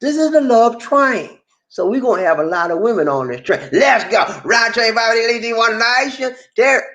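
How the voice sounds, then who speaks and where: A man's voice: very high-pitched, lively intonation, moderate pace, sharp tone. A man hosting a casual livestream, reading viewer comments in a playful, teasing way. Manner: Southern American vernacular, playful and teasing. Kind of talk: casual chat.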